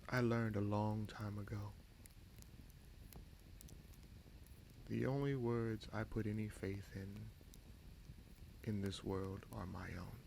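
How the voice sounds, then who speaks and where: A man playing a vengeful, brooding bastard prince and sorcerer in a fantasy audio roleplay, speaking in a measured, solemn, halting way. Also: lowish voice, steady tone, gravelly, darkish tone, a little hiss or hum, poor audio. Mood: bitter, resolute.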